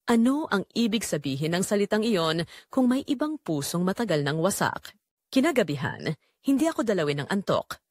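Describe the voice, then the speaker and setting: Dry-sounding woman narrating with lively pitch. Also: moderate pace, no echo. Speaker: narrator of audio drama. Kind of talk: first-person dramatic story narration.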